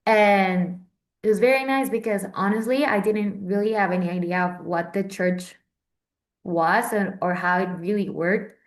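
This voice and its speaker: A woman's voice: medium pitch, fairly even intonation, a little slow. Chatty young woman. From Central America. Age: 20s. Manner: chatty, with many fillers and restarts. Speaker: Vatican correspondent. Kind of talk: casual informal talk.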